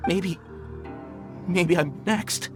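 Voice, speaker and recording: Frightened, high-voiced miner. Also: male voice, over music. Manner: nervous, halting. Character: shaken, frightened male mine worker. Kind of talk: video game voice acting.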